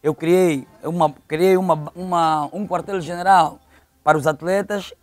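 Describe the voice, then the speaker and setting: A man's voice: fairly high pitch, steady tone, a little slow, no echo. A middle-aged man with an Angolan accent telling stories conversationally as an interview guest, repeating phrases for emphasis. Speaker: interview guest, local football official.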